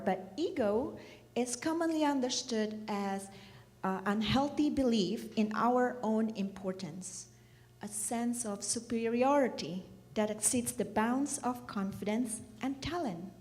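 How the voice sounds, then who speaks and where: A middle-aged woman from Indonesia gives a reflective church message, speaking gently and asking the listeners questions. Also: fairly high pitch, lively intonation, measured pace. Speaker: children's program director giving a church message. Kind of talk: reflective sermon talk. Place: church hall. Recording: reverberant church hall.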